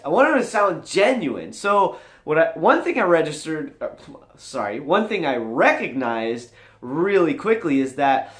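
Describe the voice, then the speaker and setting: An upbeat, high-energy man chatting casually as a vlog host, his voice moving animatedly up and down. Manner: animated, high-energy, rambling with swooping register. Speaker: vlogger and host. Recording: echoey room.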